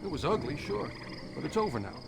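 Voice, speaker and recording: An actor playing a smug, self-righteous male villain in a video game, speaking in a folksy, rhetorical, quietly menacing way. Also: on the high side, very animated, quick pace, vocal fry, loud music behind the voice. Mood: calm menace. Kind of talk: dramatic video game voice acting. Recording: very noisy night ambience with insects.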